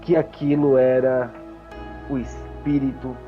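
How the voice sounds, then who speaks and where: A man narrating a tabletop game as game master, theatrical and full of deliberate pauses. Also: mid-range voice, level tone, dull audio, soft background music.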